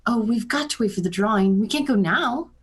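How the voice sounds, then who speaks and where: A woman playing a practical, stubborn farm wife in a radio play, brisk and scolding. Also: fairly high pitch, animated, husky. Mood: unconcerned.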